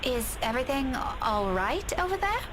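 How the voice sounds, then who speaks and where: A woman's voice: high voice, big pitch swings, moderate pace. An actress playing a hesitant, anxious young woman in a horror visual novel. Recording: game audio with clear background noise.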